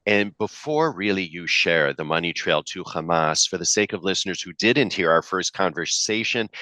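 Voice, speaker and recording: A man's voice: low-pitched, pushed and bright, no echo. A man hosting a podcast, reading a polished, upbeat intro and then interviewing a guest. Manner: polished, upbeat announcer delivery. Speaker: podcast host.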